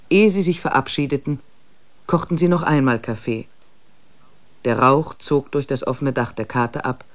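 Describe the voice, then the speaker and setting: An actor as a calm diary narrator. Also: male voice, medium pitch, lightly acted. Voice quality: calm, measured diary-style reading. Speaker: narrator of a travel account. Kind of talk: German radio play narration.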